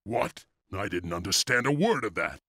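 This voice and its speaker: A man's voice: fairly low pitch, very animated, moderate pace, bright, forceful tone, dry recording, studio quality, heavily acted. A man playing a stern, determined clan chieftain in a video game, speaking in a terse, commanding way. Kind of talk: dramatic video game acting.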